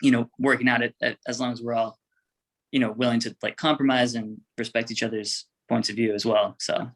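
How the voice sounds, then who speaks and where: A young adult man speaks as an interviewed musician in a casual chat. His voice sits at a medium pitch, and he feels his way through thoughts in short, informal, halting phrases. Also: little pitch movement, low-quality recording. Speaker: indie rock band member being interviewed.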